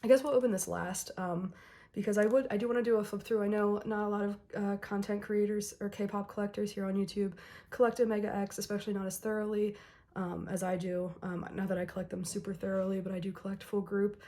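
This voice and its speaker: Hoarse young woman chatting excitedly. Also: mid-range voice, fast. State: hoarse, recovering from illness. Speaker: K-pop album unboxing vlogger. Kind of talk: casual chat to camera.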